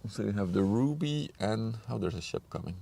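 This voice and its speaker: Low creaky guy, bit hoarse, mutters to himself setting up cameras outside. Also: animated, a little fast, warm tone, a little hiss or hum. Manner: chatty, muttering to himself while setting up gear. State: slightly hoarse from a cold. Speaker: ship-spotting livestreamer. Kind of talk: casual live commentary. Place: outdoors on a riverbank.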